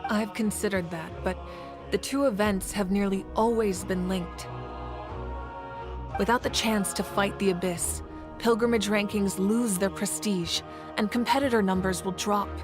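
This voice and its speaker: Game casting: commanding female ruler, acted by a woman, mid-range voice, measured and grave, music underneath. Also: medium pitch, steady tone, close to an everyday voice. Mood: grave, explanatory. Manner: measured, deliberate, serious. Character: composed, authoritative adult female leader and war deity. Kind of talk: video game character acting.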